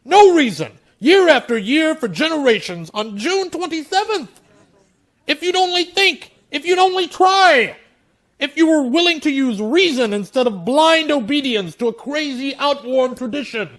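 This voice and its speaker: An actor playing an earnest young schoolmaster in a radio play, arguing in an impassioned way. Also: male voice, very high-pitched, lively intonation, moderate pace, fairly bright tone. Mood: impassioned, exasperated. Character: earnest young village schoolmaster challenging tradition.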